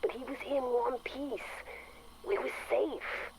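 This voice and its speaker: A brash female squad operator logging a report in a game. She is blunt, colloquial and excitable, at a medium pitch for the role. There is light noise on the recording. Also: slow. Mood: excited. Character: brash, candid female field operator, admiring and informal. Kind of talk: video game audio log report. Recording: noisy in-game logged radio report.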